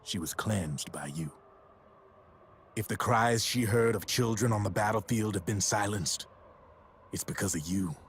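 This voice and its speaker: A man voicing a grim deep-voiced storyteller. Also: slight background noise, close to an everyday voice. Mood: grave. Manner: slow, grave storytelling, dropping to hushed near-whispers. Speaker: storyteller in a game cutscene. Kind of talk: video game cutscene voice acting.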